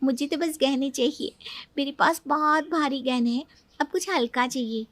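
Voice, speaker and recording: A woman's voice: high voice, moderate pace, no echo, lightly acted. A woman voicing a sly, sweet-talking younger daughter-in-law in a cartoon story, coaxing and self-assured. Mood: smug, confiding. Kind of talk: Hindi cartoon story dialogue.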